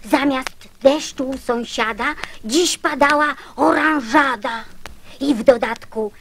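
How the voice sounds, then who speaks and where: A woman's voice: high-pitched, slow, fairly bright tone, slight background noise, full character voice. An actress playing a chatty little girl who eagerly tells tall tales, in a theatrical radio recitation of children's verse. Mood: eager, insistent.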